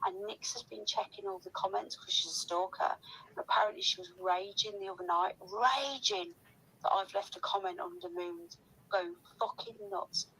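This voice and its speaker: Gravelly-voiced woman in gossipy chat. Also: mid-range voice, expressive, moderate pace, bright, forceful tone, a little hiss or hum, low-quality recording. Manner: rambling, sweary, complaining.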